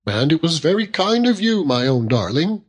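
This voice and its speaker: A man voicing a fond, earnest young Victorian husband who gently reproves and reasons, in a storybook reading. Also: on the high side, sing-song, normal speed, husky, pitched above the performer's own voice.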